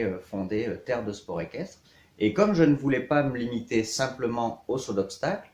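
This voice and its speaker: A man in his thirties from south-east France, a riding coach, introducing himself in measured, hesitant short phrases for an online course. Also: medium pitch, darkish tone. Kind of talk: scripted-outline self-introduction to camera for an online course. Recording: echoey, reverberant room.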